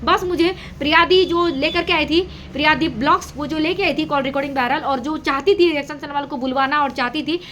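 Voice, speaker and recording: High, bright-voiced woman commentator. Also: fast, few pauses, slight background noise. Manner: repetitive, emphatic phrasing. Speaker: reaction video host. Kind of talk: casual gossip commentary to an audience.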